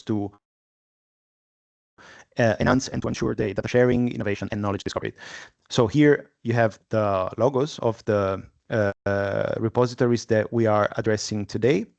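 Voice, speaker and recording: A man moderates a webinar in a creaky, fry-edged voice. The sound is dry, with little room in it. He presents somewhat hesitantly, restarting his phrases. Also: fairly low pitch, darkish tone, dry recording.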